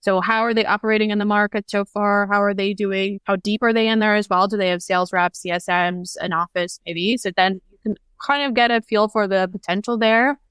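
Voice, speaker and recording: A woman's voice: mid-range voice, steady tone, fairly quick, few pauses, fairly bright tone, clean tone, dry recording. An American woman, around her thirties, answering interview questions about her marketing work in a thoughtful, explaining way. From United States. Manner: thoughtful, explanatory, uses "like" and "if you will". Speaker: guest, head of product marketing.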